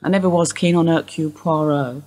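A woman acting in a radio play as a weary, evasive older wife under suspicion, husky and rambling in an understated way. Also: sits low, steady tone, fairly quick, a little mellow. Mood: wistful, evasive.

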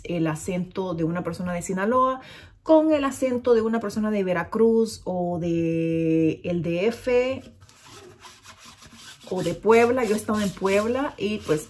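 A woman's voice: mid-range voice, darkish tone, slight background noise. A woman in her thirties from Nicaragua with a mild Nicaraguan Spanish accent, chatting to the camera from her bedroom, filling her talk with fillers and restarts. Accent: Nicaraguan Spanish, fairly neutral. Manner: chatty, gestures a lot, many fillers and restarts. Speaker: vlogger. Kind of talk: casual chat to camera. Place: bedroom at home, indoors. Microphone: camera at desk distance.

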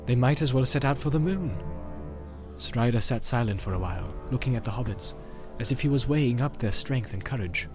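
Male narrator for a dramatized audiobook, mid-range voice, clear music bed. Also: mid-range voice, animated, brisk.

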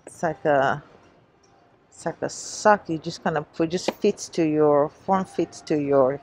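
A woman with a low, fry-edged voice talks at an unhurried pace, musing to her viewers in a casual shopping vlog. She is recorded inside a big store with faint background noise. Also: a little mellow. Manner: musing, chatting to viewers while browsing. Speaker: shopping vlogger. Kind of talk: casual shop-with-me vlog chat. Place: inside a large shoe store.

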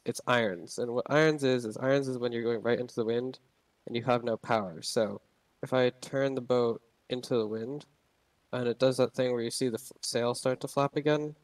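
A man's voice: medium pitch, dry recording. Young male narrator, loose unscripted tutorial talk, dry and close. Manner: rambling, many ums and restarts. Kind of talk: casual gameplay tutorial.

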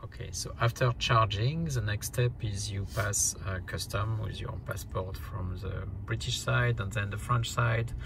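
Slow-paced man narrating, fairly low voice. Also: fairly even intonation, vocal fry, background noise. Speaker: vlogger. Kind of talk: casual travel vlog narration.

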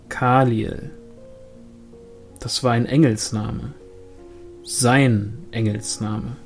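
A man's voice: mid-range voice, a little mellow. A man reading a fantasy novel aloud as literary audiobook narration. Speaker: audiobook reader.

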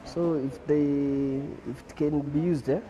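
A young man from Kenya talks in a casual, plain-spoken way. His voice is very dark and mellow, and he is recorded indoors in a salon on a lapel mic. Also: on the high side, flat delivery, measured pace, a little hiss or hum, low-quality recording. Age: young adult. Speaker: hairdresser. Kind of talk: news interview.

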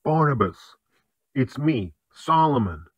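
A man speaking in a matter-of-fact, brisk way, as a calm, businesslike helper in a short skit. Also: medium pitch, husky, no room sound, exaggerated voice. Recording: dull, dry recording.

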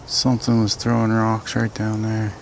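A man in his forties, tense and jumpy, talking low and swearing as he films himself out in the woods. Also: completely flat delivery, normal speed. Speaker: found-footage creator. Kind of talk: found-footage vlog narration. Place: outdoors in the woods at night. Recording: outdoor ambience with clear background noise.

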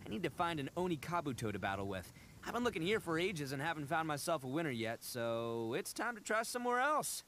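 A man's voice: fairly high pitch, very animated, fairly quick, a bit bright, slight background noise. A man voicing a brash, boastful but good-hearted young oni gang leader in a video game, swaggering and slangy, stammering when flustered. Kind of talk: animated video game voice acting.

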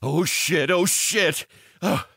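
A man voicing a panicked, foul-mouthed chief executive in a narrated story, with a strained, forceful delivery. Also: high-pitched, slow pace, creaky, husky, pitched above the performer's own voice, full character voice.

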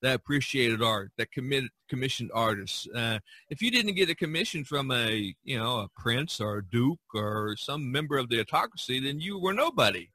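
A man with a bright, forward voice hosts a casual podcast discussion. He rambles through his stories in a conversational way, and the sound is dry and close. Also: mid-range voice, big pitch swings, normal speed, few pauses, dry recording. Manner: rambling, storytelling. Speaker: podcast host. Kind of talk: casual discussion of art history.

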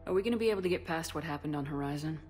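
A woman's voice: deep voice, a little mellow, natural voice. Composed female commander over music acted by a woman. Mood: caring, serious. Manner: measured, sincere, direct. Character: composed, supportive female military starship commander. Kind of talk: video game dialogue acting.

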